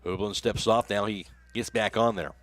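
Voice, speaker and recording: A man's voice: lowish voice, steady tone, a little fast. A man doing live play-by-play baseball commentary for a radio broadcast. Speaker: play-by-play announcer.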